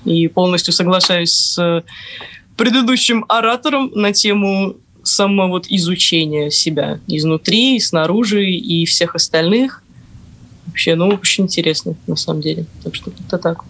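A woman's voice: lowish voice, moderate pace, a bit bright. A young woman student speaking casually as an interview guest, tentative and often trailing off. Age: young adult. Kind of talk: casual personal talk in an interview.

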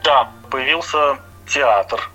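A middle-aged man from Latvia, a chef, answering interview questions in an unhurried, plain way with soft hedging fillers. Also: medium pitch, lo-fi sound, faint music behind.

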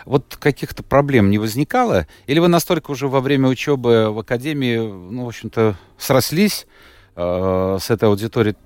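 A man's voice: medium pitch, expressive, fairly quick, a little mellow, no echo, studio quality. A male radio host interviewing a guest, reading out a listener's message and pressing with follow-up questions. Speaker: radio talk show host.

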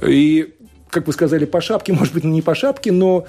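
Male radio guest from Latvia, academic and politician, mellow husky voice, hesitant, fillers. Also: fairly high pitch, fairly quick, soft background music. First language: Russian. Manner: thoughtful, hesitant, many fillers and restarts. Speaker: guest, law scholar and politician. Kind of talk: radio interview answers.

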